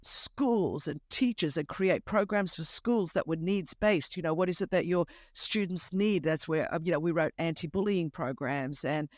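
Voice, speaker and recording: A woman in her fifties with an Australian accent whose first language is Greek, telling a lively, humorous personal story as a podcast host. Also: fairly low pitch, normal speed, hardly any pauses, no echo, studio quality. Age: 50s. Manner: animated, emphatic, humorous storyteller. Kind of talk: conversational testimony storytelling.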